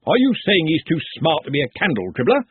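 A stubborn, burly workman, put on by a narrator, stressing each point firmly. His voice is raised and husky. It sounds dry and phone-thin. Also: on the high side, huge pitch swings, quick pace, no echo, phone-quality sound, pitched above the performer's own voice, full character voice. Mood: insistent. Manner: emphatic and earnest. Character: burly, stubborn male candle dribbler.

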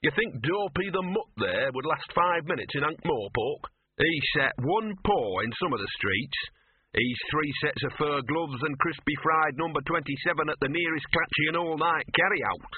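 A man's voice: fairly high pitch, animated, moderate pace, pitched above the performer's own voice, natural voice. A man voicing a cynical, streetwise talking dog in a storybook, grumbling and colloquial. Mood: scornful, opinionated. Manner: grumbling, colloquial, world-weary. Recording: muffled radio-like narrow band, roomy.

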